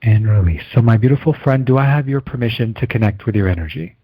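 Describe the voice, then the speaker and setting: Male energy healer, mid-pitched, slow soothing guidance, dull dry call sound. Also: breathy edge, no room sound. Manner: calm, slow, soothing guidance with steady affirmations. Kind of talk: guided healing session.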